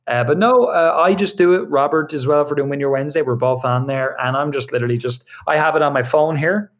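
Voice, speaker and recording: A man speaking as a podcast guest in casual interview talk, answering in quick bursts with many restarts and fillers from his video studio. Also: a little high. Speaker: podcast guest, online business co-founder. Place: home video studio with lights.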